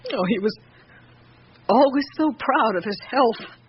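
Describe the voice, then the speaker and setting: An actress playing a loyal, troubled old woman in service to a count in a radio drama, speaking in a measured, hesitant, plaintive way. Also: on the high side, lively intonation, breathy edge, full character voice. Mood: sorrowful. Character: loyal elderly female servant of a count, troubled. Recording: muffled, noisy old radio recording.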